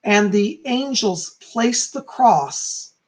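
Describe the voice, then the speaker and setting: A calm, soft-spoken man who is hoarse and losing his voice, teaching on a livestream and reading aloud from a book. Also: high voice, very slow. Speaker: psychic teaching about folk magic.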